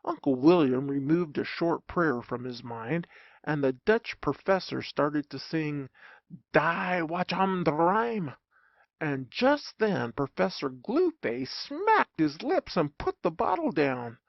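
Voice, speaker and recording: An audiobook narrator reading a humorous story in a theatrical, expressive way. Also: medium pitch, slow, dry recording, dull audio.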